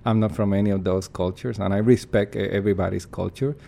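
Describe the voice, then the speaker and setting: Casting: man, lowish voice, earnest and reflective, pauses to find words. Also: steady tone, creaky, mellow. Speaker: performance artist. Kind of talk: interview answers.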